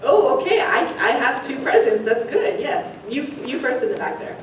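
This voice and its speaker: An American woman giving an informal welcome talk to new students, chatty and halting as she presents. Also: big pitch swings. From San Francisco Bay Area, United States; born in the Philippines. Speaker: orientation presenter, university associate director. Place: echoey indoor room at a student orientation. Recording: echoey room, distant mic, rumbling noise.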